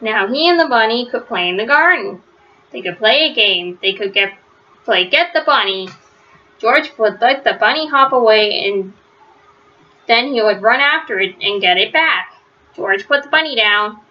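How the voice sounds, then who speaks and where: A woman reading a children's story aloud, phrasing it simply and deliberately and stressing words for young listeners. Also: a little high, expressive, dull audio. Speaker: storybook reader. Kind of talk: children's read-aloud narration.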